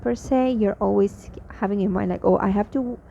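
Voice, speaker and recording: A woman's voice: mid-range voice, moderate pace, gravelly, warm tone. A young woman from Mexico who speaks English with a Mexican accent, talking casually and hesitantly in a spoken diary, tired and drained, with many fillers and restarts. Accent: Mexican Spanish. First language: Spanish. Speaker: vlogger. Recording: slightly dull lo-fi audio with faint noise.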